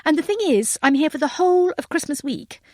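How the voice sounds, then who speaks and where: A woman voicing a bright, effusive, well-bred young lady aviator who is polite and apologetic, with old-fashioned phrasing. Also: sits high, animated, brisk, no room sound, clean studio sound, pitched above the performer's own voice. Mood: eager, pleading.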